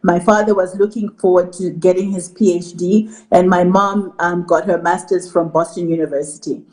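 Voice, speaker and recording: A middle-aged woman from Zimbabwe, a marketing executive answering TV interview questions in an articulate, measured way. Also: fairly low pitch, fairly even intonation, darkish tone, low-quality recording.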